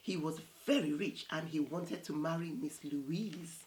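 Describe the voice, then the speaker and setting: A woman in her thirties reading a picture book aloud as storytime host, in a theatrical, unhurried way. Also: sits low, a little mellow, low-quality recording. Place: small indoor set with plain backdrop.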